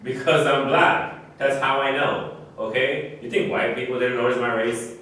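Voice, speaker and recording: A man's voice: mid-range voice, fairly even intonation, moderate pace, bright, forceful tone, natural voice. A man acting in a live staged reading as a proud, boastful former star athlete, speaking emphatically. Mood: resentful. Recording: very echoey distant room recording, faint noise.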